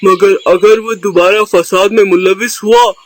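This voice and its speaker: A man's voice: sits high, fairly even intonation, measured pace. A man voicing a stern, majestic peacock king in a cartoon, speaking in a solemn, commanding, formal way. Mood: judicial. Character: stern, majestic male peacock king judging the forest court. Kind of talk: Hindi cartoon dialogue.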